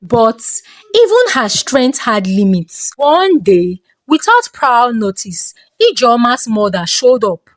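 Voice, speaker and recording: A woman with a Nigerian accent reads a scripted folktale in a dramatic, expressive storytelling manner. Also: a little high, no echo.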